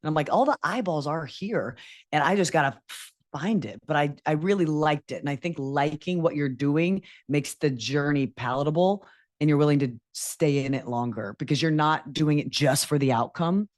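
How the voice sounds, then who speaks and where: A woman with a low voice, a podcast guest telling her story candidly in casual chat. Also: normal speed. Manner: candid storytelling, animated quoting.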